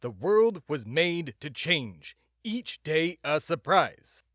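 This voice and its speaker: A man's voice: on the high side, big pitch swings, takes it slowly, a bit bright, no echo, clean studio sound, full character voice. A man playing a pompous, self-important male patriot who hosts a comedy podcast in a mock-serious, grandiose way. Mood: grandly dramatic. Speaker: podcast host. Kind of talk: mock-serious comedic monologue and dramatic reading.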